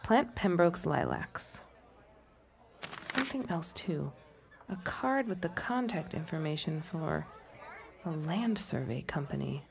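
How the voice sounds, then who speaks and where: An actress playing a nervous, plucky amateur spy who talks wryly to herself in a period audio drama. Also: female voice, low-pitched, lively intonation, takes it slowly, vocal fry, slight background noise, exaggerated voice. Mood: curious, hushed. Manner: wry, theatrical self-talk.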